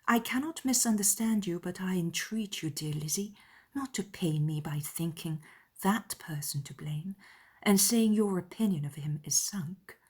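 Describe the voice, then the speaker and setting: A woman voicing a gentle young woman in a dramatized period novel, speaking softly and earnestly with a breathy, entreating manner. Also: fairly low pitch, lively intonation, unhurried. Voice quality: breathy, hushed. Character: gentle, soft-spoken young woman pleading for charity toward others.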